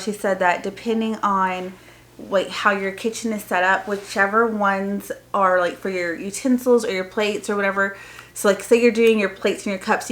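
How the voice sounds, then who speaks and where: A woman's voice: medium pitch, fairly bright tone. A woman speaking casually to viewers as a homeschooling vlogger, explaining things in a chatty, informal way with loose, trailing sentences. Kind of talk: casual unboxing review to viewers.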